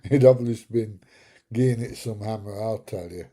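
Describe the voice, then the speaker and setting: A middle-aged man chats away on a casual livestream, telling rambling, jokey stories. His voice is very dark and husky, with a gravelly edge. He speaks at an easy, slow pace. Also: lowish voice. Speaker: livestream host. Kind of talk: casual live chat reading viewer comments.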